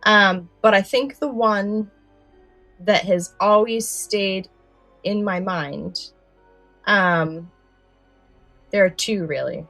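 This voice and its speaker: A woman's voice: mid-range voice, slow pace, long pauses, a bit bright, no room sound, soft background music, rough recording. A woman co-hosting a podcast in casual, enthusiastic chat, stressing points by repeating words. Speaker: podcast co-host.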